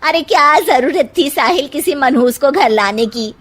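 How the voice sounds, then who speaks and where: Woman acting out a shrill, scornful gossiping aunt. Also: expressive, fairly bright tone. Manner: scornful, exclaiming, drawn-out words. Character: gossiping, spiteful middle-aged aunt.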